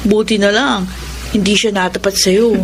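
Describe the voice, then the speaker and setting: A woman's voice: mid-range voice, steady tone, normal speed, slight background noise, close to an everyday voice. A woman with a Filipino accent playing a frank, teasing young student friend in a radio romance drama.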